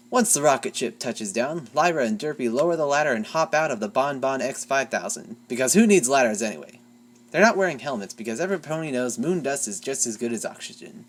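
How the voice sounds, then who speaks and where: A playful storyteller reading a comic fanfic aloud, dropping deadpan asides. The voice moves through a lively pitch range while keeping a middling pitch. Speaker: fanfic reader.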